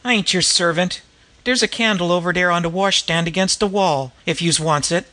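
A man's voice: high voice, moderate pace, pushed and bright. A man voicing a young woman disguised as a coarse, screeching old slum hag. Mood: snappish. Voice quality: screeching, cackling. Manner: caustic, coarse street talk.

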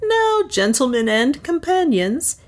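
A woman's voice: high-pitched, big pitch swings, takes it slowly, pitched above the performer's own voice, exaggerated voice. A woman voicing a swaggering male adventurer in an audiobook, bold, careless and commanding. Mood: hearty swagger. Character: swaggering male adventurer posing as a rich slave trader.

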